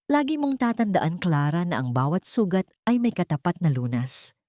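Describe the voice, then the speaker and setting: A woman voicing a gentle, tender man who speaks softly and soothingly, offering comfort in a romantic story. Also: high-pitched, sing-song, no room sound. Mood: gentle consoling. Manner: soft, soothing, unhurried.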